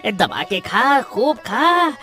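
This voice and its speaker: A shameless glutton from a comic village cartoon, acted by a woman. The voice is fairly high and slow, greedily urging and repeating, with faint music behind. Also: big pitch swings, bright, forceful tone, heavily acted. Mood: greedy urging. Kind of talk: Hindi cartoon comic dialogue.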